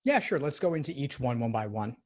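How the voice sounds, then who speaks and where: A man coaching law school applicants, giving calm, direct advice in a consultation call. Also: mid-range voice, a little fast. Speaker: admissions coach.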